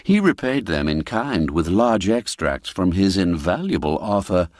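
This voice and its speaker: A man narrating a classic ghost tale in a theatrical, expressive storytelling manner, dwelling on the darker words. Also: low-pitched, a little slow, dry recording, studio quality.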